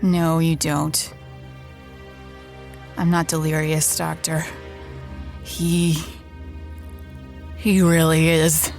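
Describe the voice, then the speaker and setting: An actress playing a stubborn, fatalistic dying woman in an audio drama, speaking in a halting way. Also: low voice, little pitch movement, darkish tone, faint music behind, full character voice. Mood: weary, insistent. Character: stubborn, resigned dying woman haunted by ghosts.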